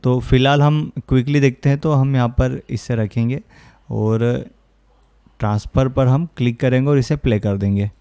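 A man talks a learner through music software in an informal tutorial. His voice is smooth and clean with a soft, mellow tone. He explains step by step, in a close, dry recording. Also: medium pitch, steady tone, normal speed, no room sound. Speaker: music production tutorial host. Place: close, dry indoor voice-over.

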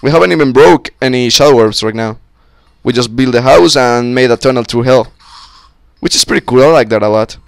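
A young man with a slightly sick voice, giving casual, excitable commentary on a co-op game with friends on a close mic. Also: medium pitch, fairly quick, creaky, smooth, no room sound. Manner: excitable, swearing, joking with friends. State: sick, voice a little off. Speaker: gameplay commentator. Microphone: close-up desk mic.

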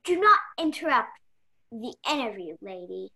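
A young woman playing a brisk, bossy investigator in a mystery radio play, formal and officious. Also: a little high, sing-song, vocal fry, sharp tone, slightly rough, heavily acted. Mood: stern. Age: young adult. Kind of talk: stage-style mystery radio play acting.

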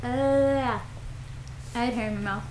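A woman's voice: a little high, steady tone, normal speed. A young woman co-hosting a let's play with giggly, casual chat. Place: small dim room indoors. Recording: echoey room with faint noise.

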